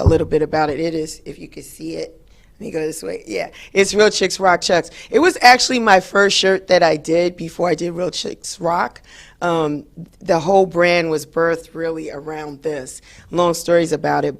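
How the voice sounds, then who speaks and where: A hoarse woman, hosting a talk show in an upbeat, chatty way with many fillers. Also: deep voice. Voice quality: raspy. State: hoarse after a late night. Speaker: radio show host. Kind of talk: solo talk show monologue.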